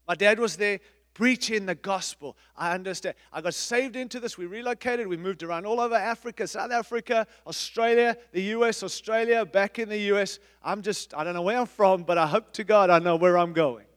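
A middle-aged man, a pastor, preaching a sermon in an emphatic, repetitive style with big swings in loudness. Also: high voice, fairly quick, long reverb tail. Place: church.

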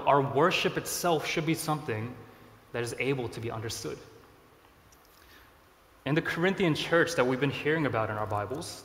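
A man in his thirties, originally from Korea, preaching a sermon in a relaxed, conversational way with self-deprecating asides. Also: mid-range voice. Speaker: pastor. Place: church sanctuary. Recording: echoey church hall.

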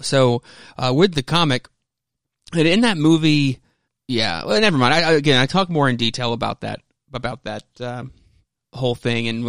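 A man gives casual podcast commentary in a middle-pitched voice. He rambles, hesitates and interrupts himself, with loose, profane phrasing. The recording is dry, with hardly any room sound. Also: fairly bright tone, dry recording. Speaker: podcast host.

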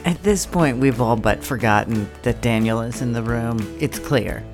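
A woman's voice: rumbling low, normal speed, over music. A woman hosting a podcast, narrating and interviewing in a wry, self-deprecating storytelling manner. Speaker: podcast host.

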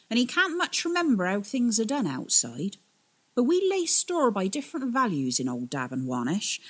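A woman voicing an old male tug-boat captain who talks in a rustic dialect, loud and scolding. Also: mid-range voice, pitched above the performer's own voice.

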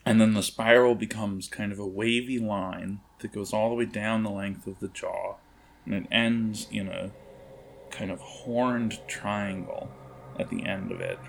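Gravelly low-voiced man narrating a game. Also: steady tone, a little hiss or hum. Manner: halting, descriptive delivery. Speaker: game master. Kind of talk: improvised tabletop game narration.

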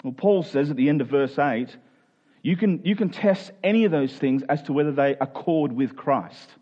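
A man with a somewhat high-pitched voice delivers a sermon in everyday language. He is earnest, asking questions of his listeners and rephrasing points for emphasis. The recording is a little dull and lo-fi. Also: expressive, normal speed, warm tone. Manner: earnest, rhetorical questions, restating phrases for emphasis. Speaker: preacher. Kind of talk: sermon, explaining a Bible passage in plain conversational words.

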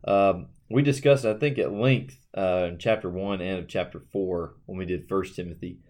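A man with a deep, low voice co-hosts a Bible study podcast. He chimes in casually and recaps points for listeners. His delivery is easygoing and conversational. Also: normal speed, a little mellow. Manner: casual and affirming, adds short recaps. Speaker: podcast co-host.

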